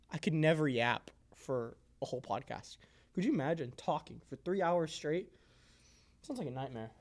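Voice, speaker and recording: A man's voice: on the high side, animated, unhurried. A man in his early twenties giving a casual, off-the-cuff tour of a workshop, rambling in a jokey, self-deprecating way with playful exaggeration. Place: indoor workshop.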